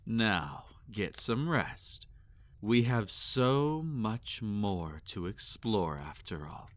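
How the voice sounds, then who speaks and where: A man playing a possessive, sadistic vampire master in an intimate roleplay audio, teasing and domineering, often slipping into breathy whispers. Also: fairly low pitch, animated, extremely slow. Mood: soothing, possessive.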